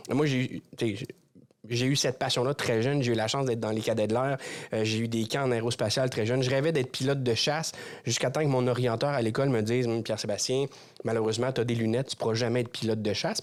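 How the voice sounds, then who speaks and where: A man with a Québécois French accent hosting a podcast interview, talking casually and searching for words with frequent restarts. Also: medium pitch. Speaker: podcast host.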